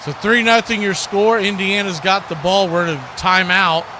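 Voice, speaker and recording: A fairly high-voiced American man hosts a live football stream. He calls the game and chats in a folksy, conversational way. Clear ambient noise runs behind his voice. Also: level tone, moderate pace. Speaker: play-by-play sports commentator and stream host. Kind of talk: live American football commentary with casual chat.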